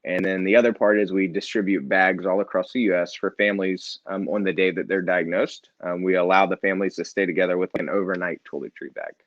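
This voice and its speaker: A middle-aged man, a nonprofit founder, sharing his story in a relaxed, earnest way with light self-deprecating humor. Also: deep voice, fast talker, few pauses, no echo, muffled recording. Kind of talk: casual interview storytelling.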